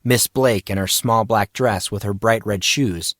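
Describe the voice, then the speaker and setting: A man's voice: fairly low pitch, unhurried, a bit bright, no room sound. Man narrating a story, dry sound. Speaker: audiobook narrator. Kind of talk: scripted dramatic story narration.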